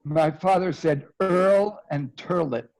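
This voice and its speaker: A man's voice: on the high side, takes it slowly, creaky, darkish tone, poor audio. A man at a meetup chiming in with amused remarks. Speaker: meetup attendee.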